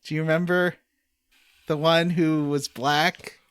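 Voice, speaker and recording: A man's voice: a little high, little pitch movement, slow pace, fairly bright tone, clear, resonant tone, dry recording. A man hosting a casual group podcast, telling stories in an enthusiastic, rambling way. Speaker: podcast host.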